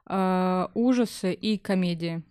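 A woman's voice: fairly low pitch, slow, dark tone, clean tone, no echo. Woman in informal chat, dry close sound. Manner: informal, thinking aloud. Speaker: podcast guest. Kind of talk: casual chat.

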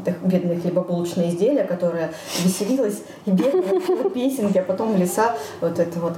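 A woman's voice: medium pitch, expressive, quick pace, warm tone. A woman co-hosting a podcast in casual chat, informal and joking, with hesitant phrasing. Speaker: podcast co-host. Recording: roomy echo, faint background noise.

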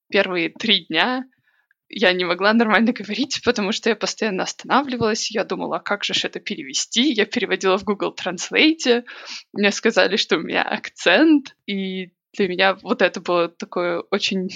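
A woman speaking as a podcast guest in casual, reflective talk, thinking aloud with pauses. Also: medium pitch, gravelly, a bit bright. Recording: dull lo-fi recording.

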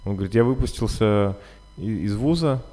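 A man hosting a casual interview, telling things in slangy, colloquial and animated language. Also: lowish voice, moderate pace. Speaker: podcast host. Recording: long hall-like reverb.

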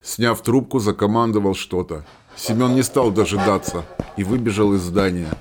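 A man's voice: lowish voice, normal speed, background noise. A man reading scripted narration of a war story as the narrator of a radio play.

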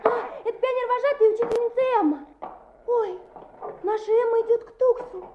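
An excitable young schoolchild in a radio drama. The voice is extremely high, on a noisy, roomy old recording. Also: female voice, a little fast, lo-fi sound. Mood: alarmed, excited. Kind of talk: Soviet radio play acting.

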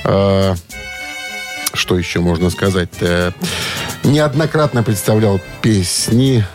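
A man's voice: sits low, steady tone, slow pace, soft background music. A man hosting a radio quiz in a casual, chatty, hesitant and informal manner. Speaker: radio show host running a music quiz.

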